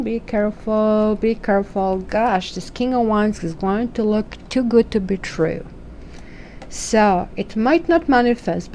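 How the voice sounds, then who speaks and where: A woman's voice: mid-range voice, mellow, slight background noise. A woman from Croatia with a Croatian accent, reading tarot cards in a chatty, musing way and asking questions aloud. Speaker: tarot reader.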